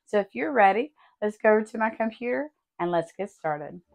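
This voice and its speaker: A woman hosting a craft tutorial, her voice still a little raspy as she recovers, talking in a friendly, chatty way and explaining each step. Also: mid-range voice, animated, normal speed. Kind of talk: casual tutorial walkthrough.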